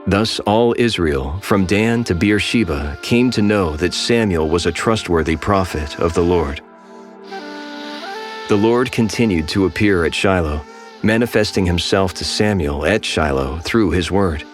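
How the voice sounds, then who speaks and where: A man narrating scripture in a steady, measured storytelling voice for a dramatized audio Bible. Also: sits low, faint music behind.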